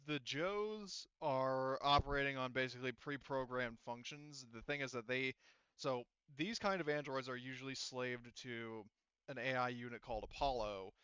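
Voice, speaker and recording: A man speaking as a game master, explaining the story in a halting, conversational way. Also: medium pitch, bright, forceful tone, smooth, no echo, dull audio. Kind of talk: improvised tabletop roleplay narration.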